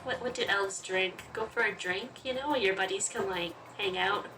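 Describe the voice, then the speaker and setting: A woman's voice: medium pitch, moderate pace, sharp tone, faint music behind. A woman hosting a gaming video, reading visual novel narration aloud and adding casual, playful asides. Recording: slightly distant mic, faint noise.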